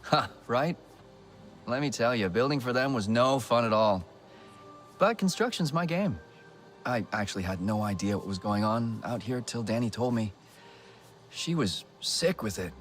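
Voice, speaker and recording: Animated, chatty builder guy played by an actor. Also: medium pitch, moderate pace, faint music behind, lightly acted. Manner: talkative, animated. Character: chatty, enthusiastic human builder, good-natured devoted husband. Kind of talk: video game dialogue acting.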